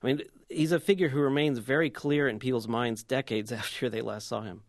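A man speaking as a radio guest, remembering his old professor in a halting way, with many fillers and restarts. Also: medium pitch, steady tone, no echo, studio quality. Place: radio station studio.

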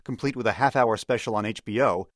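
A man's voice: on the low side, fast, no echo. American male interviewer, dry studio sound. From United States. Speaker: radio interviewer. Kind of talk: interview questions and scripted introduction.

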